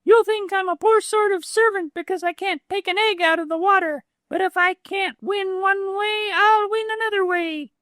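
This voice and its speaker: A man voicing a bold, boastful little male weasel in a storybook reading, proud and brisk. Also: very high voice, sharp tone, no room sound, voice pushed up. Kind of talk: storybook read-aloud.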